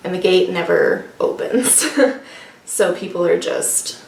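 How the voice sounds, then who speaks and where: A woman's voice: on the low side, fairly even intonation, slow pace, gravelly. A woman co-hosting a book vlog in casual, unscripted chat, talking hesitantly as if thinking aloud. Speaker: co-host of a book discussion vlog. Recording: echoey room, distant mic, faint noise.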